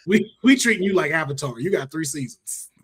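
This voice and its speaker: A man's voice: a little high, animated, a little fast, fairly bright tone, slightly rough. An American man chatting casually as an opinionated podcast panelist, slangy and given to emphatic repetition. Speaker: podcast panelist judging picks.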